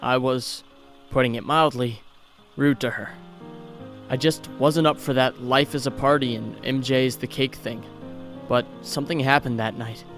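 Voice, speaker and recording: A young male hero of a comic dub , played by a man, pours out his grief in a mid-pitched voice. He is earnest, reflective and a little halting, tender throughout, with music under him. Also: steady tone, fairly bright tone, close to an everyday voice. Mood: wistful, grieving. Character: grieving young male superhero, tender and wistful. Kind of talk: comic dub, dramatic monologue.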